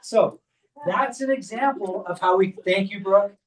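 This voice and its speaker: A high-pitched man talks a small group through a hands-on demonstration at a clinic workshop, explaining each step as he goes. His voice is picked up from some distance, with the room around it. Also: measured pace, crowd noise. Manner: explains step by step while guiding a volunteer. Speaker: chiropractor leading a workshop.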